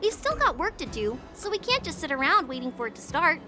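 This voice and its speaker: A woman voicing a brisk, practical traveling merchant in a game. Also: high voice, very animated. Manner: brisk and matter-of-fact. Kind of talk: game voice acting. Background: game soundtrack music.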